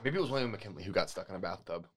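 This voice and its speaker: A man's voice: low-pitched, animated, racing, fairly bright tone, husky. A man with an American accent co-hosting a podcast in casual banter, often rambling and correcting himself. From United States. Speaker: podcast co-host.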